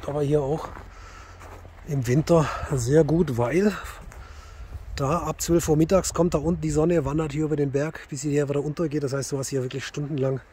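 A man's voice: fairly high pitch, fairly even intonation, moderate pace, mellow, a little hiss or hum. A middle-aged man chatting casually to camera as a CB radio hobbyist, in a car and outdoors. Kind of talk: casual vlog chat and radio calls. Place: inside a parked car and outdoors in snowy forest.

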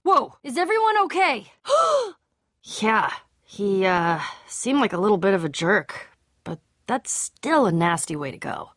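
Feisty, sarcastic young female game hero, bright and high, casual teasing delivery, dry close sound. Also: very animated, measured pace, no echo. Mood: wry, uneasy. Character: Petra, feisty, sarcastic, brave young woman adventurer. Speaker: video game voice actor. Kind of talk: animated game dialogue.

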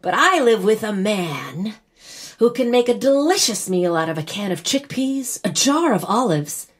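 Young bride, American, mid-pitched, wry yet wistful, naturalistic radio play. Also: female voice, sing-song, unhurried, breathy edge. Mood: affectionate. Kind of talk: naturalistic audio drama acting.

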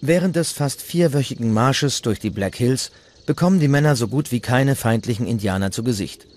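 A man's voice: mid-range voice, lively intonation, moderate pace, a little mellow. A man reading scripted documentary narration as the narrator.

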